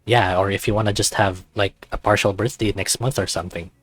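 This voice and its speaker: A man's voice: fairly low pitch, steady tone, brisk, a bit bright, dry recording, faint music behind. A man voicing a goofy, laid-back teenage male furry best friend with casual, hesitant slacker phrasing in a visual novel. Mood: laid-back, joking.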